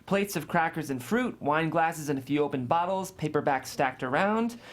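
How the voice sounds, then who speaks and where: A man's voice: a little high, lively intonation, moderate pace. A man reading scene descriptions and stage directions as scripted narration at a table read.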